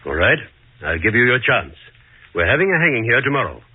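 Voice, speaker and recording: An actor playing a stern, sceptical lordship, pompous and measured in 1940s radio drama style. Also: male voice, mid-range voice, big pitch swings, husky, dry recording. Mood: condescending. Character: stern, lofty male lordship, sceptical authority. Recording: dry, tinny 1940s radio recording.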